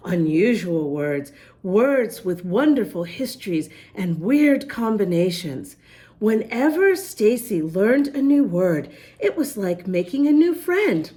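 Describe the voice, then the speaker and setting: A woman reading a picture book aloud to young children, talking directly to them and carefully sounding out words. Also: medium pitch. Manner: animated, addresses young listeners, spells words out letter by letter. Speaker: children's story reader, host.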